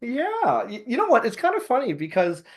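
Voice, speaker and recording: A man's voice: very high-pitched, huge pitch swings, moderate pace. A man with a Canadian accent, a podcast guest explaining things in casual, talkative style with plenty of filler phrases like "sort of". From Canada, Toronto area, born in Hong Kong. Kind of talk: casual chat.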